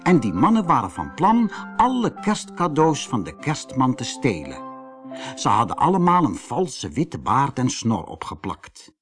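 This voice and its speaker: A man with a Netherlands Dutch accent narrating a scripted children's fairy tale in an expressive storytelling manner. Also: mid-range voice.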